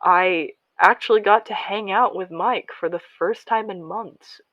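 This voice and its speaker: A woman playing a young female survivor broadcasting in a podcast-style audio drama, confiding and emotionally raw, with halting delivery. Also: medium pitch, no room sound, lo-fi sound, natural voice. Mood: subdued, reflective. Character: young female survivor and pirate radio broadcaster, grieving, defiant.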